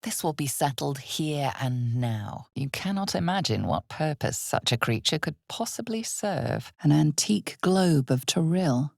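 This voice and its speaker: A woman voicing an ominous, knowing narrator of a dark fantasy game, speaking in a measured, dramatic, often hushed way. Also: low voice, lively intonation, gravelly, no echo, clean studio sound, full character voice. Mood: knowing, grave.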